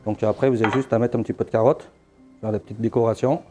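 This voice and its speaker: A middle-aged man from Vietnam, a chef demonstrating dishes in his restaurant kitchen, talking haltingly with many fillers. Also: on the low side, fairly even intonation, a little mellow. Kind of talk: cooking demonstration. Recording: faint music behind.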